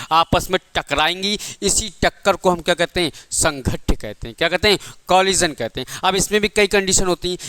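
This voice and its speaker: A man's voice: sits high, pushed and bright, clear, resonant tone, no echo. Male physics tutor, exam coaching, rhetorical questions, clean dry close-mic sound. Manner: asks the class questions and answers them himself; calls listeners "beta" and "bhai". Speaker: physics teacher. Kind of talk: exam-prep physics lesson.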